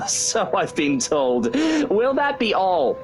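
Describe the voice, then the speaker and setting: An actor playing an arrogant, sulky young husband, sarcastic and dismissive. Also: male voice, high voice, big pitch swings, slow pace, vocal fry, exaggerated voice. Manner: sarcastic, dismissive, theatrical swoops. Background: faint game music.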